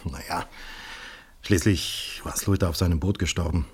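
An actor playing a calm, fatherly, probing police official in a radio crime play, speaking in a measured, hesitant way. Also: deep voice, husky. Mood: calm, reassuring. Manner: measured, hesitant, deliberate, with thoughtful murmurs. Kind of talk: 1970s radio play dialogue.

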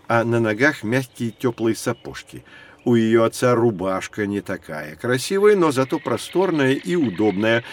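An actor playing a learned professor, explaining in a deliberate storytelling way in an educational audio drama. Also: male voice, mid-range voice, natural voice. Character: learned male professor guiding children through prehistory.

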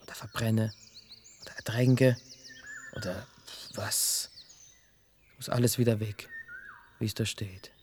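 A man playing a stubborn middle-aged forest local in a radio play, muttering in blunt, halting fragments. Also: on the low side, steady tone, a little mellow. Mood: brooding, insistent. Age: middle-aged or older. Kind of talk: naturalistic radio play acting. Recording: outdoor birdsong, faint background noise.

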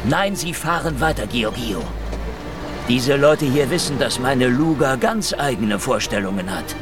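A man's voice: medium pitch, animated, measured pace, far from the mic, over music, close to an everyday voice. An actor playing a sardonic, brazen gunman on the run in a German radio drama, wry and commanding.

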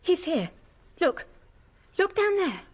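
Lady, high breathy voice, slow and hesitant, gentle, anxious, radio drama. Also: big pitch swings, full character voice.

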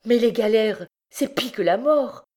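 A woman's voice: deep voice, unhurried, darkish tone. A woman voicing a desperate working-class mother, horrified and fiercely defiant, in an exclamatory, pleading way.

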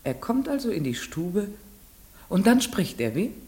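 Village schoolteacher, young woman, measured and gently probing; husky mid voice, dry studio. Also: medium pitch, big pitch swings, no room sound. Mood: questioning. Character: young unmarried female village teacher, strict but kind. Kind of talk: 1950s radio drama acting with first-person narration.

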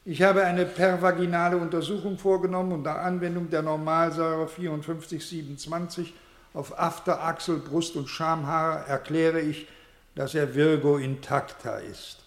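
A man's voice: fairly high pitch, little pitch movement, normal speed, natural voice. An actor playing a pompous male doctor who solemnly declaims an absurd clinical report in a satirical radio play. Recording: echoey hall with long reverb.

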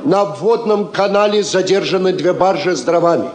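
An actor playing a sly, pompous Orthodox monk, pious and sing-song, in a stage drama. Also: male voice, high voice, moderate pace, crowd noise, close to an everyday voice. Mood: pompous, aggrieved. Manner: sing-song churchly solemnity. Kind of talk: Soviet stage drama acting. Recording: stage recording with audience noise.

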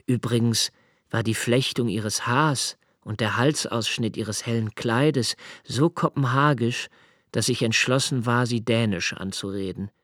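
An actor playing a reflective young male diarist, narrating his memories in a literary radio drama with measured, deliberate delivery. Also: mid-range voice, fairly bright tone, no echo, clean studio sound. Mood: hushed, reflective.

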